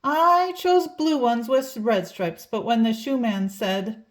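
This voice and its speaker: A woman's voice: fairly high pitch, slow pace. A woman reading a children's picture book aloud expressively, drawing out key words for emphasis. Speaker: storybook reader.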